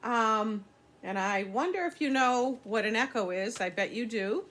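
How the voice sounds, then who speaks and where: A woman's voice: a little high, animated, measured pace, a bit bright. An older woman reading a children's story aloud, explaining things simply as a teacher would to young listeners.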